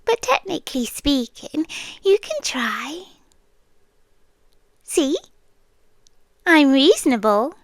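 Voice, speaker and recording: A British woman playing a possessive, sweetly menacing siren queen in an intimate ASMR roleplay, speaking softly and teasingly and drifting into whispers. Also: high voice, sing-song, slow, pauses often, no room sound, clean studio sound, full character voice. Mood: coy, sinister.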